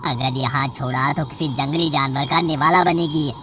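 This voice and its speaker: A woman's voice: rumbling low, fairly even intonation, normal speed, a bit bright, slight background noise. A woman voicing an irritable, poor male snake charmer in a cartoon story, grumbling and blunt. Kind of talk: cartoon voice acting.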